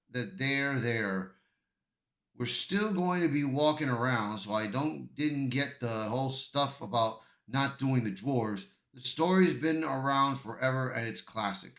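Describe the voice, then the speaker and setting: A man hosting an amateur news commentary video, reading aloud and reacting in a halting way, stumbling and repeating words. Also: medium pitch, fairly bright tone. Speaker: YouTube commentary host. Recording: echoey, roomy sound.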